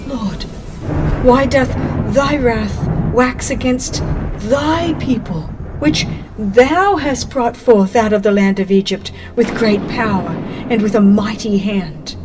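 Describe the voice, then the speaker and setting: A woman's voice: on the high side, slow. A woman voicing a humble, earnest male leader pleading solemnly in a dramatized scripture audiobook. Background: background music.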